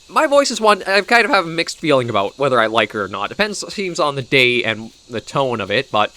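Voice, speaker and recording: Lively Canadian man with a cold, bright voice. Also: mid-range voice, fairly quick. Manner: rambling, thinking aloud. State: coming down with a cold. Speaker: gaming video host. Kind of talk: casual gameplay commentary.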